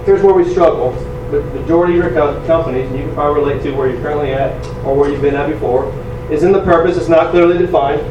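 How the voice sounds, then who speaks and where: A man in his forties giving a loud, energetic, self-deprecating presentation to a conference audience. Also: on the high side, fast talker, lo-fi sound. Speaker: conference speaker. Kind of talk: presentation talk. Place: large conference room. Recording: echoey room from far off, noisy.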